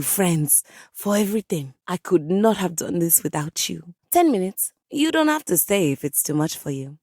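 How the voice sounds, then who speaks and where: A woman's voice: on the low side, darkish tone, husky, no room sound, studio quality. A woman playing a passionate piano come to life in a video game, a haughty, dramatic composer with florid, formal diction. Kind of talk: video game character voice acting.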